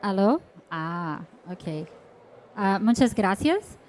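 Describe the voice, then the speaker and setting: Brazilian woman, native portuguese, reads her notes really slow and halting, a little noise behind. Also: mid-range voice, sing-song, darkish tone. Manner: halting, careful non-native delivery. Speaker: panelist. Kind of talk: reading prepared remarks.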